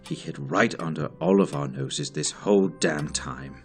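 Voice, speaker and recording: A man's voice: very deep voice, measured pace, faint music behind. A man playing a courteous, grave agency director in an audio drama, speaking formally and theatrically.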